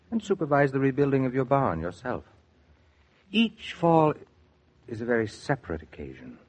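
A muffled old radio play features a persuasive, kindly male family friend. His voice is lowish and rough-edged, with melodic, animated phrasing in the 1940s acting style.

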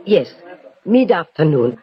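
Woman's voice, acting plain-spoken innkeeper, measured, animated pitch, muffled old radio recording. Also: exaggerated voice. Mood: earnest, measured. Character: plain-spoken, dutiful French tavern keeper woman. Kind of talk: 1960 radio drama acting. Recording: dull old radio broadcast with music.

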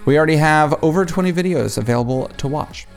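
A man's voice: on the high side, fast talker, faint music behind. A man hosting a podcast, chatting with a guest in an upbeat, enthusiastic way. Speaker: podcast host. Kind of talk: casual interview chat.

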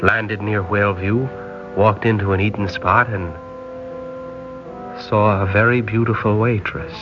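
An actor playing a polite, hesitant, earnest young sailor of nineteen in a 1960s radio drama. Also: male voice, fairly low pitch, level tone, unhurried, over music, lightly acted. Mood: eager, wistful. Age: young adult. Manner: courteous, hesitant, genteel. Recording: dull lo-fi vintage radio recording.

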